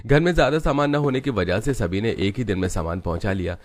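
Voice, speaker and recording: A man's voice: lowish voice, fast talker, soft background music. A man narrating a family story in a scripted storybook style.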